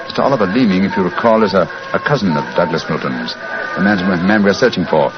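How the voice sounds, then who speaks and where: An actor playing a shrewd, courteous English detective in a 1940s radio drama, speaking in a clipped, precise, urbane way. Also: male voice, sits low, lively intonation, fast. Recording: muffled 1940s radio broadcast with music.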